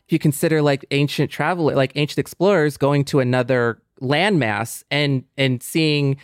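A man's voice: fairly high pitch, moderate pace, clean tone, no echo. Earnest male podcast co-host, dry close sound. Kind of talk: conversational discussion with scripted sign-off.

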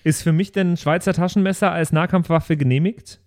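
A man's voice: fairly high pitch, fairly even intonation, a little fast, darkish tone. A man in casual chat as a tabletop role-player, thinking aloud a little hesitantly.

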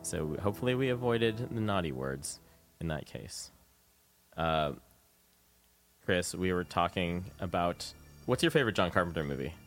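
A middle-aged man with a fairly low voice chats as a radio interviewer. He talks casually and circles around his thoughts with a hesitant, meandering phrasing. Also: expressive, normal speed, pauses often, a bit bright, faint music behind. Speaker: radio show host, interviewer. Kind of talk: casual conversational interview chat.

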